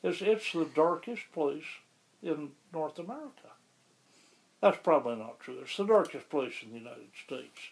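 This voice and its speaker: Gravelly-voiced man, higher pitch. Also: lively intonation, poor audio. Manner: measured, explanatory, with occasional restarts. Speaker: interviewee, local resident. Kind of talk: relaxed conversational interview.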